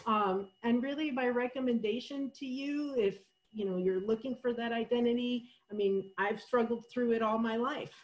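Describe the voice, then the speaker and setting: A woman from El Salvador giving a college webinar talk over a video call, thoughtful and steady, with many fillers and frequent "right?" checks. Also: fairly high pitch, normal speed, rough recording. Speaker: college professor presenting survey findings. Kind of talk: webinar presentation.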